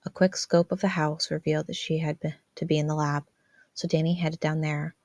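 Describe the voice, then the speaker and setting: A woman's voice: low voice, flat delivery, fairly quick, a little mellow, dry recording. A woman reading scripted fan fiction narration as a podfic narrator.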